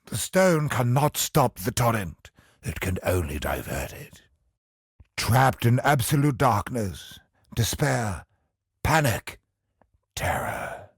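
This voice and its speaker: Godlike video game villain, brooding, ominous, grandiose, very slow, gravelly, theatrical monologue. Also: male voice, mid-range voice, sing-song, dry recording, studio quality, heavily acted. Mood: grave, musing. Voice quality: husky, gravelly. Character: godlike male cosmic villain, brooding, grandiose, obsessed with perfection. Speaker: video game boss character.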